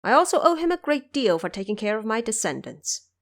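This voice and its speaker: A woman's voice: on the high side, very animated, brisk. Woman playing a humble, courtly ghost judge of the underworld. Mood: pleased, reassuring. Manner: formal, deferential courtly speech. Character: deceased male underworld judge, humble, deferential, courtly official. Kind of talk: audio drama acting.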